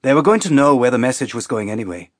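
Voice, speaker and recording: A man's voice: mid-range voice, expressive, quick pace, no room sound, studio quality, lightly acted. A man voicing an outspoken, frustrated woman spaceship crew member in an audiobook, with a blunt, informal manner. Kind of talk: audiobook character acting.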